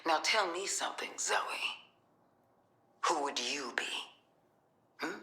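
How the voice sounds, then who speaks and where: An actress playing a commanding middle-aged government director in a video game, measured and coolly manipulative. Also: female voice, sits low, expressive, pushed and bright, reverberant, full character voice. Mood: hard, self-assured. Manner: measured, authoritative.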